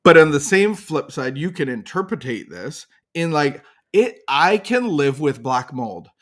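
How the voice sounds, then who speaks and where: A man's voice: a little high, big pitch swings, a little slow, fairly bright tone. A man co-hosting a recovery podcast in casual chat, talking in a preachy, emphatic way. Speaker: podcast co-host.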